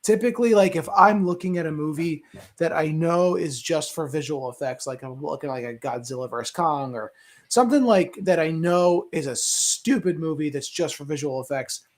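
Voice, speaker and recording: A man's voice: on the high side, moderate pace. A man giving casual, opinionated takes on a movie discussion show, rambling and emphatic as he repeats himself.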